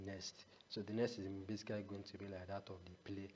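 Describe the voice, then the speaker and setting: A man's voice: low-pitched, level tone, fairly quick, a little mellow. A man giving an unscripted step-by-step screencast tutorial as an instructor, often repeating himself and punctuating explanations with a rhetorical "what". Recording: echoey reverberant room, noisy background.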